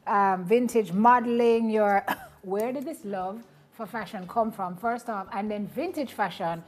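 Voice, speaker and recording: A woman's voice: medium pitch, measured pace, darkish tone. A woman hosting a morning TV show, playful and teasing with her guest. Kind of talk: casual morning TV interview. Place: TV studio.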